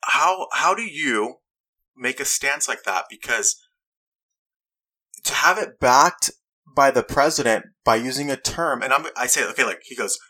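A man's voice: mid-range voice, lively intonation, a little slow, pushed and bright, rough recording. A young man hosting a casual talk show, earnestly arguing his point and reading quotes aloud. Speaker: podcast host. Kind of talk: casual debate chat.

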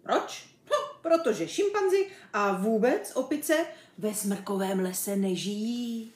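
Storybook chimp, male, young, cocky show-off played by a woman; very animated, pushed-up chatter in an echoey room. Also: on the high side, close to an everyday voice. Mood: boastful, teasing. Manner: brash, chatty, showing off. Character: cheeky boastful young male chimpanzee, circus runaway. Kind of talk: children's story character reading. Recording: roomy echo.

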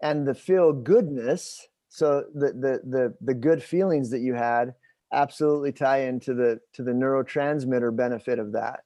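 Guy in his 40s explaining stuff conversationally, soft mellow tone, thinks out loud, no room echo. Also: medium pitch, normal speed. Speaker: host interviewing his father.

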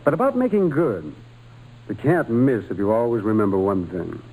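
An actor playing a kindly, encouraging religious brother with a husky voice in an old-time radio drama. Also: male voice, lowish voice, very animated, moderate pace, darkish tone, rough recording. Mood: gentle, encouraging.

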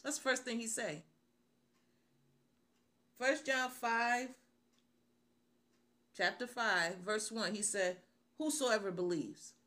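A woman's voice: on the high side, slow, pauses often, bright, forceful tone. A middle-aged woman preaching on the radio, opening with prayer and then asking rhetorical questions and repeating phrases.

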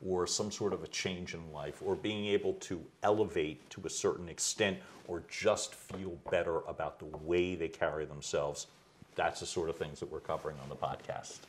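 A middle-aged man from the United States, a voice coach being interviewed at home, who talks loudly and animatedly and laughs easily. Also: low-pitched, a little hiss or hum. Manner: loud, animated storyteller with quick laughs. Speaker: guest, executive voice coach. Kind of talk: conversational interview. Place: his home.

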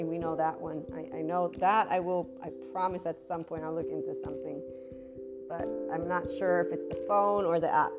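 Woman host, slightly rough low voice, chatty and tangent-prone, over loud music. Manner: rambling, chatty, with asides. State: slightly rough, deeper voice than usual. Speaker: spiritual podcast host. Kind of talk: casual monologue.

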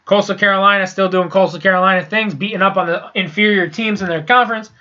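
Congested, foul-mouthed young man with a bright voice. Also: high-pitched, steady tone, fast talker, dull audio. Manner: profane, blunt and rambling, with frequent restarts. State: congested with a sinus infection. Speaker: sports podcast host. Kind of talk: casual solo sports recap.

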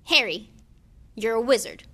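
Storybook giant man, played by a woman: booming, growling, emphatic, rustic, indignant with a big heart. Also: expressive, measured pace, sharp tone, exaggerated voice. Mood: blunt, proud. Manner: rustic dialect, blunt, emphatic.

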